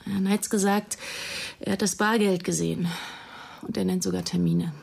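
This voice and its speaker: A determined female police investigator in a radio crime drama. She speaks at a medium pitch, firm and matter-of-fact, insistent yet guarded. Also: fairly even intonation, normal speed, creaky, a little mellow, no echo. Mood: guarded, exasperated. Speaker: actor playing a state police investigator.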